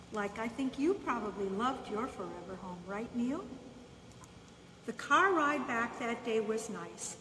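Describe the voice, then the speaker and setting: A woman reading a children's bedtime story aloud in the first person, unhurried, pausing between short phrases. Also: on the high side, a bit bright. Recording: echoey reverberant room, distant mic, faint noise.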